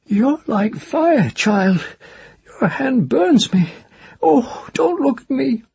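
A man's voice: very high voice, expressive, takes it slowly, vocal fry, a little mellow, husky, dry recording, pitched above the performer's own voice, heavily acted. A man voicing a frightened old cursed witch woman who pleads and weeps in a quavering voice. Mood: weeping anguish.

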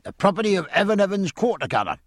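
A man voicing a ship's master-at-arms droning out charges, hoarse and officious. His voice sits raised and pinched. The sound is close and dry. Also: high voice, very animated, quick pace, no echo, pitched above the performer's own voice. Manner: hoarse, formal, reciting charges.

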